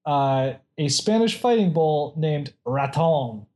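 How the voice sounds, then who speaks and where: A man's voice: fairly high pitch, lively intonation, slow pace, a little mellow. A man casually chatting and reading out odd facts, rambling with many restarts and frequent laughs.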